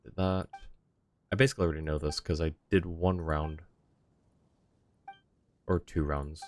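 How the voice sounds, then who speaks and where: A man's voice: extremely low pitch, unhurried. A tired man streaming a game late at night, chatting casually and reading game text aloud. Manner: relaxed, mumbling, reads game text aloud. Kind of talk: casual gameplay chat.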